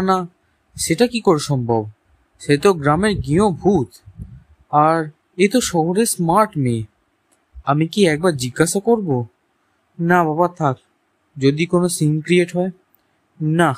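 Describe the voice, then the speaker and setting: A man's voice: on the high side, no room sound. A man playing a hesitant, remorseful young husband in a cartoon story, speaking earnestly. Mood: astonished, unsure. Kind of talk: animated story dialogue.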